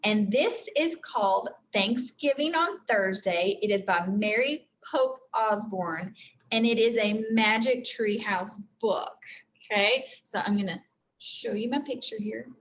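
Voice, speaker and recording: A woman's voice: mid-range voice, a little slow, a little hiss or hum, rough recording. A woman teacher reading a storybook aloud to children, explaining things simply as she goes. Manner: explains simply, with frequent check-in questions.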